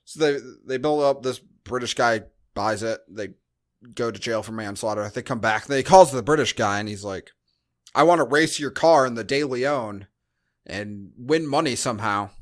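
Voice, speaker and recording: A man's voice: medium pitch, moderate pace. Sarcastic man chatting on a podcast. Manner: sarcastic, dry asides. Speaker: podcast host. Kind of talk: casual chat, movie recap.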